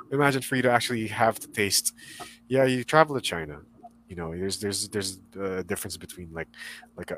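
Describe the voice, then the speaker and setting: Young man, Southern Californian accent, lowish voice, loose rambling guest talk with faint ambience behind. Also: fairly bright tone, a little hiss or hum, poor audio. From Philippines. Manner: rambling with many fillers and restarts. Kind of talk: casual chat.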